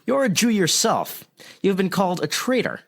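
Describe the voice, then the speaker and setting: Earnest field reporter male actor, higher-pitched and lively, formal, pointed questions, radio drama. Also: moderate pace, vocal fry, exaggerated voice. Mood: earnest, probing. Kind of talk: mock news interview, old-time radio acting.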